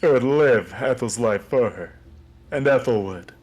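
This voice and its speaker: A man's voice: medium pitch, expressive, measured pace, a little mellow, breathy edge. A man streaming a game, reading its narration aloud theatrically and chatting about it. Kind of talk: dramatic read-aloud of game narration mixed with joking chat.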